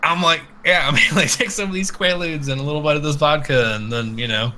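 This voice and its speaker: A man hosting a casual live podcast, rambling and swearing freely as he gives animated opinions. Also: on the high side, brisk, a little hiss or hum. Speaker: podcast host. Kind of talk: casual opinionated live chat about games.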